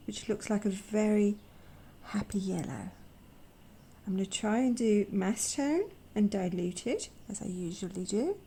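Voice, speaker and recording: A young woman with a croaky voice from a sore throat, gently hosting a casual art vlog where she swatches watercolours. She talks softly, often slips into a whisper, and hesitates with fillers. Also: mid-range voice, a little hiss or hum. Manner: soft-spoken, gentle, often drops to a whisper. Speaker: watercolour artist and channel host.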